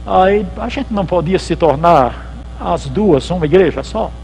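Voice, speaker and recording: A man's voice: high-pitched, lively intonation, unhurried, creaky, a little mellow, slight background noise, poor audio. A man, a pastor giving a church history lecture at a symposium, telling it in a chatty way and acting out the dialogues. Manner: conversational storytelling, acts out dialogues. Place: lecture hall at a theological symposium.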